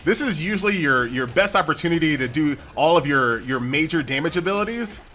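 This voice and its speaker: A man's voice: a little high, animated, fairly quick, bright, forceful tone, a little hiss or hum. An American man giving casual, chatty gameplay commentary in an informal, conversational way. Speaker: gaming YouTuber.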